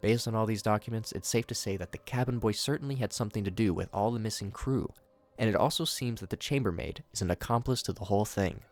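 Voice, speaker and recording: A man's voice: lowish voice, quick pace. A man hosting a video, reading scripted narration mixed with his own commentary. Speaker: video host reviewing horror shorts.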